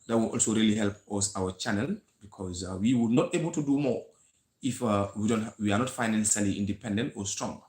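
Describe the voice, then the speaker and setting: A man from the Gambia with a Gambian English accent, hosting a live history talk in an earnest lecturing way, with frequent pauses and restarts. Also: lowish voice, a little mellow. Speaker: host of a history channel. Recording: echoey room sound.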